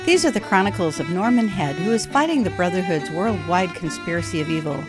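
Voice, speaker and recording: A woman's voice: lowish voice, expressive, fairly quick. A woman announcer reading a dramatic scripted introduction to a radio crime serial. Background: violin music.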